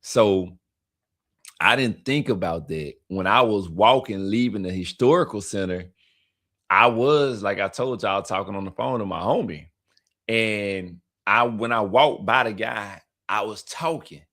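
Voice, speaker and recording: Loud heavy-voiced man. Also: fairly low pitch, measured pace. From United States. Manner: emphatic, repetitive, preacher-like urging. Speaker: travel vlogger telling a story. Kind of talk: casual live-stream storytelling. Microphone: streaming mic.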